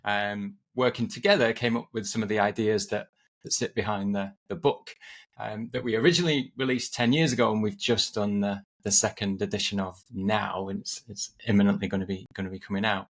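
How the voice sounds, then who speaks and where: A man's voice: fairly low pitch, steady tone, a little fast. A middle-aged man from England, a podcast guest talking in a friendly way and often repeating words as he restarts. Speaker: guest, agile coach and author. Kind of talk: casual podcast conversation.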